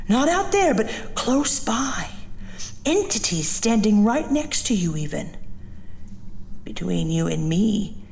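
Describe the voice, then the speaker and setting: A woman's voice: on the low side, a little mellow, a little hiss or hum. A woman playing a chatty, nosy cleaning lady in a small-town library, dropping into confiding whispers in an audio horror drama. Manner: confiding, gossipy, slips into whispers.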